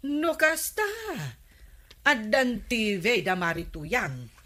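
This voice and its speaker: Bright-voiced, nagging Ilocano housewife. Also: female voice, mid-range voice, very animated, slow pace, full character voice. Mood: gossipy. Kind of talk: Ilocano radio comedy drama acting.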